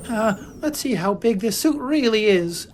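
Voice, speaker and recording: Eager dim-witted Martian sidekick, high and bouncy, unhurried, comic audio play. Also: female voice, animated, a little mellow, a little hiss or hum. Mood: muttering to self. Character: goofy, eager, simple-minded Martian helper. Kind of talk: comic audio drama acting.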